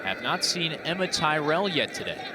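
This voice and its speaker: American male sportscaster for live play-by-play, animated, swinging pitch, heard over heavy stadium crowd noise. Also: normal speed. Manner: animated, rises with the action.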